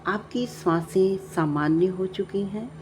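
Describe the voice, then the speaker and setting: A woman narrating a guided meditation, giving calm, measured instructions with long pauses. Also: medium pitch. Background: background music.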